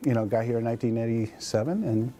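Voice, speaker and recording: A man from Honduras telling his story in a recorded interview clip, measured and reflective. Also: mid-range voice, warm tone.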